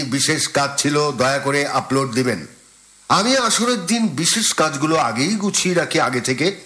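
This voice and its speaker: Bright, forceful male radio host. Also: fairly high pitch. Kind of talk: reading listener letters on radio.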